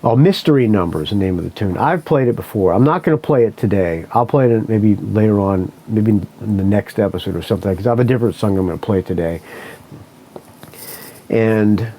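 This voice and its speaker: A man's voice: fairly low pitch, a little fast, dark tone, low-quality recording. A man hosting a podcast, telling a story in a casual, rambling way, correcting himself often and swearing freely. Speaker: podcast host.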